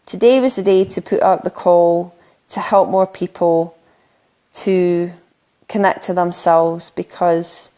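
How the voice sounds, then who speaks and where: A middle-aged woman from the UK, a spiritual coach on a solo live stream, talking earnestly with many ums and restarts, her voice a little croaky from talking a lot. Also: medium pitch, a little mellow.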